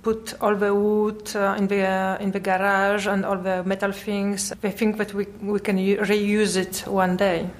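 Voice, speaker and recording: A Polish-accented woman in her forties speaks English as a second language, pausing often to find her words. Her pitch stays level and flat, in a middle register. She answers questions in a halting, thoughtful way. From Poland. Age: 40s. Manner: hesitant, halting, searching for words. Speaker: artist guest. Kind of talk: interview answers.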